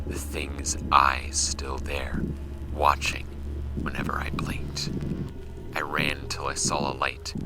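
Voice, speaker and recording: An actor playing a brooding paranormal case archivist in a horror audio drama, reading files in a measured, gravelly, breathy delivery. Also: male voice, very deep voice, heavy background noise, heavily acted. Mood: haunted, dread. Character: male paranormal case archivist, musing over strange files.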